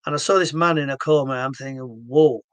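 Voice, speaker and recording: A man's voice: a little high, fairly even intonation, brisk, clear, resonant tone, no echo. A man from England with a Yorkshire accent, talking earnestly to camera about his stroke therapy method, with lots of fillers and restarts. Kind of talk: casual talk to camera.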